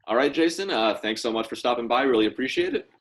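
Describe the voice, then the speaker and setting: A man's voice: medium pitch, lively intonation, fast. A man casually hosting a podcast, putting questions to guests and handling the show's links. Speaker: podcast co-host.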